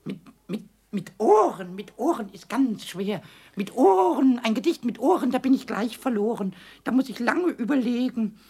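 A man voicing a cheeky, childlike little kobold in a children's radio play, squeaky and excitable, repeating words and rhyming. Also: normal speed, husky, full character voice. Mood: pondering. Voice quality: high-pitched, squeaky. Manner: stammering repetitions, rhyming.

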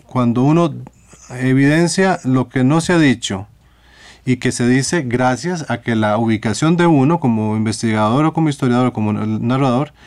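A man's voice: mid-range voice, normal speed, darkish tone. A man with a Costa Rican accent in a reflective radio discussion, searching for words and repeating phrases as he goes.

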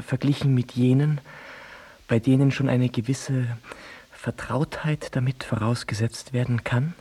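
A soft, dark-toned and breathy gentleman speaks in an eighteenth-century radio play. He is learned and deferential, feeling his way through long, polite sentences with hesitation. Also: mid-range voice. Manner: halting, circuitous, bookishly polite. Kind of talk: audio drama acting.

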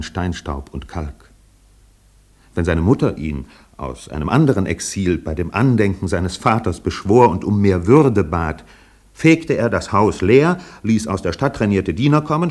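A man narrating a literary radio play in a measured, precise, faintly ironic manner. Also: deep voice, darkish tone.

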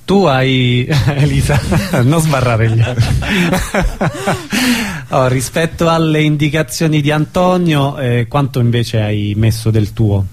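A man's voice: mid-range voice, takes it slowly, faint music behind. A man hosting an informal radio interview, prompting his guests with short questions. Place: radio studio.

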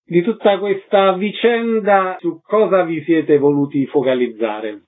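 A man's voice: sits high, unhurried. A man working as a radio interviewer, putting short questions to a guest. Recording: lo-fi radio sound, faint noise.

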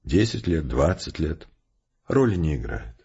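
An actor playing a calm, determined scientist who explains things earnestly and deliberately in an audio drama. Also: male voice, rumbling low, husky, full character voice. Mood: resigned, gentle. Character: resolute philosophical male scientist, calm, determined, reasoning patiently. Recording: muffled, with prominent music behind. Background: music.

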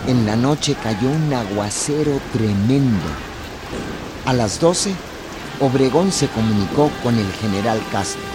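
A grave narrator played by a male actor tells a war chronicle in scripted radio style. Loud rain and outdoor noise run behind the voice. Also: medium pitch, lively intonation, a little slow, close to an everyday voice.

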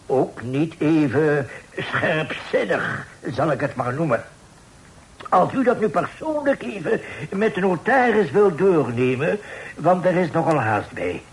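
An actor playing a courteous, wordy merchant employer in a radio play, speaking politely and in a roundabout way. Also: male voice, a little high, poor audio. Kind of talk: old Dutch radio play acting. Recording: old radio recording with faint noise.

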